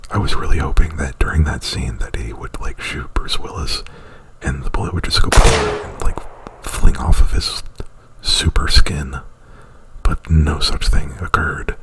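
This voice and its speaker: Guy doing asmr whisper super close to mic, low and slow, rambling thru a story all halting. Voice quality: breathy whisper. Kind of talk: whispered ASMR movie plot retelling.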